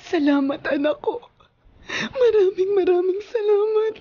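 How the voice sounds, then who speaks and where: An actress playing a self-sacrificing, tearful mother in a Filipino radio drama, speaking tenderly and haltingly with deep emotion. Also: mellow, dull audio. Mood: tearful gratitude. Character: self-sacrificing, weeping mother devoted to her daughter. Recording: music bed under the voice.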